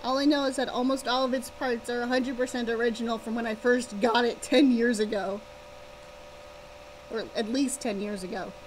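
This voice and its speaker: Woman, high-pitched, casual stream chat, self-deprecating, wry, light buzzing behind the voice. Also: fairly even intonation, normal speed, a little hiss or hum, poor audio. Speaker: live streamer, author. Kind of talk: casual chat with livestream viewers. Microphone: laptop's built-in or connected microphone. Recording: buzzing laptop mic near fan. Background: fan buzz.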